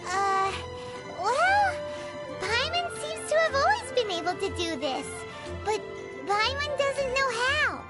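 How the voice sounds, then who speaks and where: Tiny greedy fairy sidekick, childlike squeak, very slow drawn-out delivery, whiny, video game, music behind. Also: female voice, sing-song, heavily acted. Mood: excited, curious. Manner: refers to self in third person, whiny, exclaiming. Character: tiny floating fairy girl companion, childish, cheeky, greedy, food-loving. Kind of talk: video game character acting.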